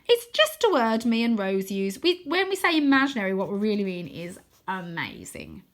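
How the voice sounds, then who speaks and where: Woman playing an earnest, excitable young boy. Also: medium pitch, normal speed, close to an everyday voice. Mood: uncertain. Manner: urgent, pleading. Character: earnest, exasperated young boy, storybook hero and narrator's self.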